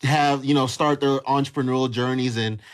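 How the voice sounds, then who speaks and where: A man's voice: medium pitch, steady tone, fairly quick, smooth. A man hosting a casual call-in livestream, talking emphatically and repeating phrases to drive points home. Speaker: livestream host. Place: livestream on a social app.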